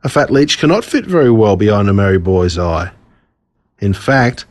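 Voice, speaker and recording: An Australian man reading a scripted children's storybook in a dramatic, deliberate way, relishing the gruesome parts. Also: mid-range voice, a little mellow. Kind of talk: scripted storybook narration told as a boy.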